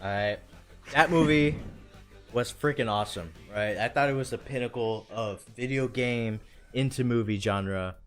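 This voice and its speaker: A man's voice: mid-range voice, normal speed. A man hosting a live stream in casual chat, enthusiastic and slangy, with music behind him.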